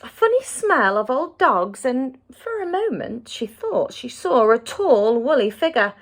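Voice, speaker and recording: Woman, fairly high voice, very animated storybook narration for young children. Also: unhurried. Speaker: teacher reading aloud to young children.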